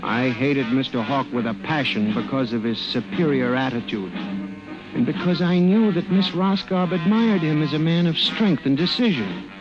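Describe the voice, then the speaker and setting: An actor playing a timid, hesitant middle-aged male clerk who narrates and acts in an old-time radio drama, with an apologetic, quietly resentful manner. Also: medium pitch, expressive, normal speed, natural voice, poor audio. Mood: wistful, bitter. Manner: hesitant, meek, deferential. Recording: old radio broadcast with music bed.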